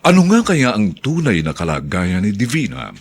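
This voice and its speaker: A Filipino man announces a radio drama in a low, gravelly voice. His theatrical delivery swoops widely in pitch. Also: wildly swooping pitch, moderate pace. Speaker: narrator and announcer. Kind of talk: dramatic scripted radio narration.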